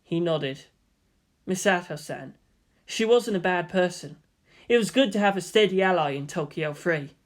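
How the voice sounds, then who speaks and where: A woman reading fan fiction aloud as expressive scripted narration. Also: on the low side, normal speed.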